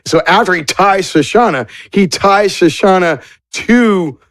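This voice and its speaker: A man's voice: sits high, animated, unhurried, fairly bright tone, no echo. Dry-sounding man as game master. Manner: conversational, descriptive. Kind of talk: casual improvised tabletop game narration.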